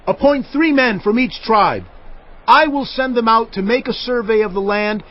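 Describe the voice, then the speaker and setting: A man's voice: very high voice, normal speed. A man playing a commanding Israelite leader with emphatic, oratorical delivery in a dramatized audio Bible. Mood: stern, urging. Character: Joshua, commanding male Israelite leader addressing his tribes. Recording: muffled audio with music behind.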